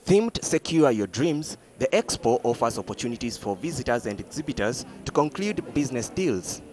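Male reporter, formal news voice-over, measured read, mid pitch. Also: very animated, a little mellow. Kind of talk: scripted news narration.

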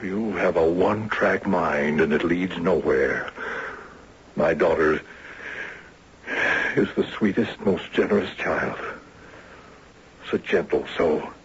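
An actor playing a proud, protective, indignant wealthy father in a radio drama, with a husky voice. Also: low-pitched, full character voice. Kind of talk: 1970s radio drama acting. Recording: dull old radio broadcast recording.

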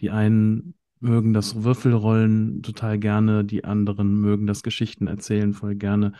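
Low mellow guy on a podcast, halting, repeats himself, really dry close sound. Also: monotone, no room sound. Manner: halting, repeats words searchingly. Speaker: podcast panelist. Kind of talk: casual discussion.

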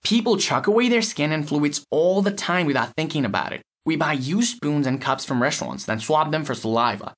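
A man's voice: on the high side, lively intonation, fairly quick, few pauses. A man playing a cynical, blunt lab technician in an audio story, explaining in a matter-of-fact, sardonic way. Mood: blunt, dry. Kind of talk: acted dialogue in an audio short story.

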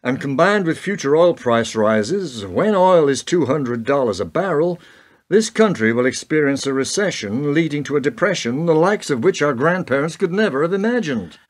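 A man's voice: on the high side, lively intonation, normal speed. A man acting in a radio play as a probing, sardonic President of the United States. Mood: bitterly sardonic. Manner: pointed, questioning, wry.

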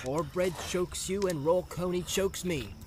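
A man's voice: high voice, unhurried, a crowd in the background, voice pushed up. A man voicing a plain-spoken, stubborn young hobbit servant in an audiobook. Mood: firm, scolding. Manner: folksy, scolding, practical. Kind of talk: dramatised audiobook character voice. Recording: clear outdoor ambience noise behind.

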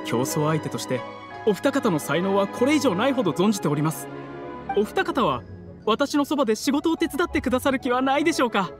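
A man's voice: very high-pitched, very animated, fairly quick, music in the background. A man voicing a polite, modest young scholar who speaks formally and courteously in a Japanese video game. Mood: polite, humble.

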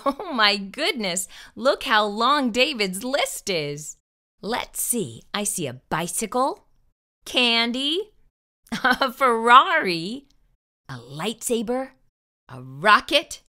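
A woman's voice: a little high, very animated, slow pace, pushed and bright. A middle-aged woman hosting a children's storytime, reading aloud expressively with exaggerated emphasis and addressing the young listeners directly. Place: small furnished room indoors. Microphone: lapel microphone.

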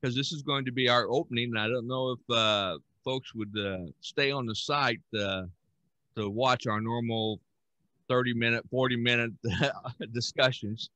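A man's voice: mid-range voice, steady tone, a little slow, sharp tone, no room sound. An older man hosting a podcast in casual, rambling talk with chuckles, speaking over a headset on a video call. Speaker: podcast host. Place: room at home.

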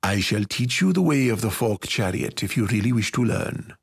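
A man's voice: fairly low pitch, animated, normal speed, creaky, breathy edge, no room sound, studio quality, heavily acted. A man voicing a weary, affectionate father in a fantasy storybook. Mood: warm, resolved. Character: weary, warm, bearded father of a young girl.

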